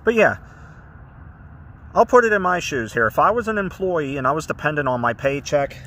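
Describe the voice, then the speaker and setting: A man's voice: fairly high pitch, expressive, moderate pace, fairly bright tone. Chatty man ranting outdoors. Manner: chatty, ranting, easily indignant. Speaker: urban explorer vlogger. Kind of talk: casual walk-around narration. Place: outdoors around an empty brick building.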